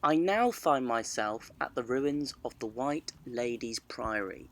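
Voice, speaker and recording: A man's voice: medium pitch, animated, unhurried, a bit bright, slight background noise. A man narrating a travel story as a storyteller, speaking to camera outdoors with a wry, playful manner. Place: outdoors on a cycling trip.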